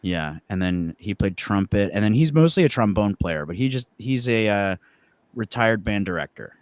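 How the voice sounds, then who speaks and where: Guy in a band with a lowish voice, chatting loose and rambly, really dry sound. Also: expressive, normal speed, dry recording. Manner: rambling, loosely hedging with "like" and "kind of". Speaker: musician guest, band frontman. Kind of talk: casual chat about songwriting.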